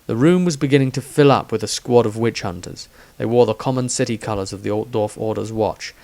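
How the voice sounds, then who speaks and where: A man narrates a fantasy tale from a script. His voice sits a little low, in an audiobook storytelling style. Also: a little mellow. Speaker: author narrator.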